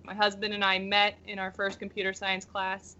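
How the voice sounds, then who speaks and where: A woman's voice: medium pitch, completely flat delivery, normal speed, sharp tone. A woman in her thirties from Pennsylvania, a returning podcast guest who giggles between statements. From Pennsylvania, United States. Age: 30s. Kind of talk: casual interview chat. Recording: slightly dull lo-fi audio.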